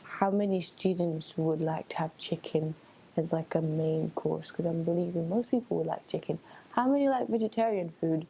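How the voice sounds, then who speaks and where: A woman's voice: lowish voice, moderate pace, creaky, warm tone, breathy edge, poor audio. A young woman from Switzerland giving informal, earnest interview answers as a student election candidate, thinking aloud in a conversational way. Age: young adult.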